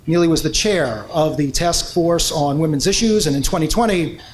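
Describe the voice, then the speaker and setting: A man presents honorees at an awards event. He reads formal biographies in a slightly high voice and fills his pauses with "uh". Faint room noise sits under the speech. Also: rough recording. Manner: reads prepared bios with frequent "uh" fillers.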